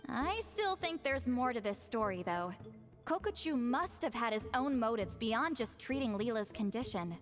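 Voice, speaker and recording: A woman's voice: fairly high pitch, expressive, normal speed, natural voice. A woman voicing a shrewd, no-nonsense grandmother clairvoyant in a video game, brusque, wry and decisive. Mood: focused. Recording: music behind the voice. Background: game music.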